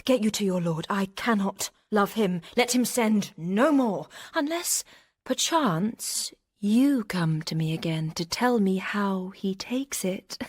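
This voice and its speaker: A haughty young lady of a great house, proud and sharp-witted. She speaks at a measured, leisurely pace with arch, teasing self-possession, in classical radio drama style. The studio sound is close and dry. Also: medium pitch, expressive, breathy edge, no room sound. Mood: intrigued, composed. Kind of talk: Shakespearean radio drama acting.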